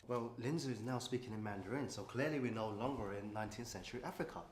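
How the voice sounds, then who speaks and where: A man's voice: mid-range voice, fast, reverberant, a little hiss or hum, close to an everyday voice. A man playing an ambitious actor who is eager to persuade. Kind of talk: short film acting.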